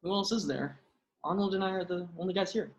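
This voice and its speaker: A woman's voice: fairly low pitch, fairly quick, roomy sound, noisy background, close to an everyday voice. A woman playing a good-natured, hesitant young college guy in a comedy table read. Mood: puzzled. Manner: hesitant, puzzled, earnest.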